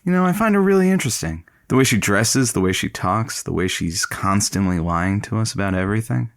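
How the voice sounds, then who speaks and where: A man's voice: on the low side, expressive, fairly quick. A man playing a scruffy, sardonic, flirtatious radio station colleague in an audio comedy drama, wry and sly in manner. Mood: wry, curious.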